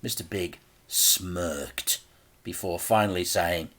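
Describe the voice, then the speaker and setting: Slow, theatrical male children's storyteller. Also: low voice, fairly bright tone. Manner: theatrical, dramatic delivery. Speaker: storyteller reading a children's book. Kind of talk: expressive scripted narration for children.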